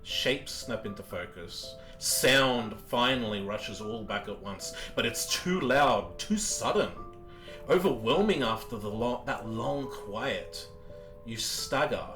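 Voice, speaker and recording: A man's voice: mid-range voice, lively intonation. Solemn man narrating a tabletop game over guitar. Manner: slow, solemn storytelling with deliberate pauses. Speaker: game master. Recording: loud guitar music behind the voice.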